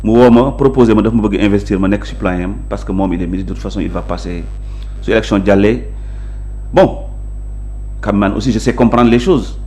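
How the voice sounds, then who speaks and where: A man's voice: on the low side, fairly quick, warm tone. Assertive man with a Senegalese accent. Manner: assertive, emphatic, explanatory. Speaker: interview guest, local politician. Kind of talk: conversational interview, mixing Wolof and French.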